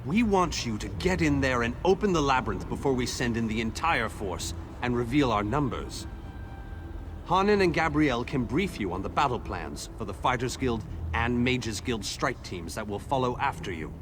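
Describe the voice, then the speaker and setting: A man's voice: medium pitch, expressive, moderate pace, music in the background, close to an everyday voice. A man voicing an earnest war leader in a video game, solemn and formal. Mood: grave urgency. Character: earnest male war leader rallying allies.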